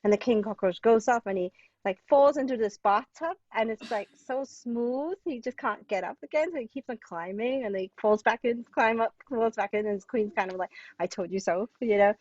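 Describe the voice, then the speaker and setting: A woman's voice: a little high, moderate pace, a little mellow, no echo. A woman from Hong Kong chatting enthusiastically about plays as a guest playwright, digressing and peppering her talk with "like" and "you know". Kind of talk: casual literary discussion. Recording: distant, dull lo-fi sound.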